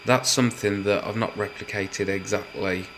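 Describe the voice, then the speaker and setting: A man giving a measured, methodical walkthrough of a hobby build, explaining it step by step. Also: on the low side, steady tone, background noise. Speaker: hobbyist builder presenting his project.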